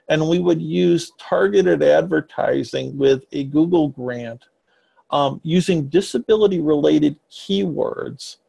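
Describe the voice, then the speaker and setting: Husky man in his 50s on a webcam call, gravelly and a bit high, explains things slow and careful in long sentences. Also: dark tone. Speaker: child psychiatrist and ministry leader. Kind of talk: podcast interview answer. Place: home office with bookshelves, indoors. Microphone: webcam video call.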